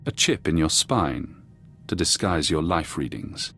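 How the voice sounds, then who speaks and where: A sinister, all-knowing phantom spymaster in a video game. His voice is low and measured, cryptic and menacing, with clear music playing underneath. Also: sing-song, bright, forceful tone, heavily acted. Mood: cold, knowing. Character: sinister hallucinated male ex-intelligence watcher, calm and knowing. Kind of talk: video game cutscene voice acting.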